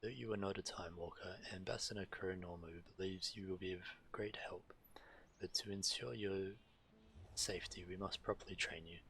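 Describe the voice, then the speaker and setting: Low-voiced man streaming a game. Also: steady tone, moderate pace, vocal fry, bright, forceful tone, a little hiss or hum, poor audio. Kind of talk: reading quest text aloud, casual commentary.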